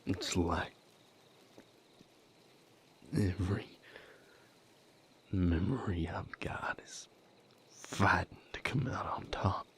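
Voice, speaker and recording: Man acting a low, whispery, anguished lover. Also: exaggerated voice. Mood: anguished, weary. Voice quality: breathy, gravelly whisper. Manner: halting, hushed, fraught delivery with long pauses. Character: anguished male lover comforting and seeking comfort, vampire romance. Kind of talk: intimate audio roleplay, dramatic acting.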